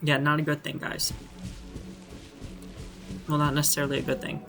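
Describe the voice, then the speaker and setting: A man's voice: a little high, monotone, normal speed, creaky, over music. A man doing casual, offhand playthrough commentary as a gaming streamer, with a wry manner.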